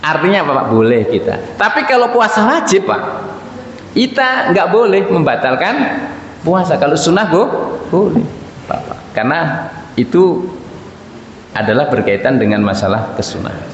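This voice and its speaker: A man's voice: on the high side, big pitch swings, normal speed, long pauses. A man preaching informally to a congregation in a conversational, joking way, putting questions to his listeners. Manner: recites short Arabic quotations. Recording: muffled, noisy, echoey hall sound.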